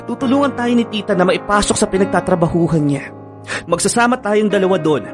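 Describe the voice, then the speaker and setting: A man's voice: high-pitched, animated, a little fast. A man with a Filipino accent playing an earnest, hurt young boyfriend in a radio drama. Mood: hurt, pleading. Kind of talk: radio drama acting with first-person narration. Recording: music bed under the voice.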